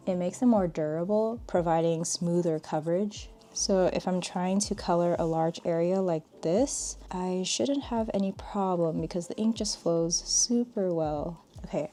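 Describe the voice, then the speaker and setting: A young woman with a low-key, flat-sounding delivery that stays calm even when she is excited. Her voice sits slightly low and sounds mellow and soft, with a faint hiss in the background. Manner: calm, unhurried, says "like" often. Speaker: art YouTuber reviewing markers. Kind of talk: casual product review chat.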